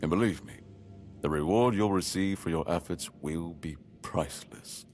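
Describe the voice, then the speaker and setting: An actor playing a solemn, duty-bound noble lord in a game, speaking in a measured, stately way. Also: male voice, low voice, a little mellow, soft background music, close to an everyday voice. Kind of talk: voiced game dialogue.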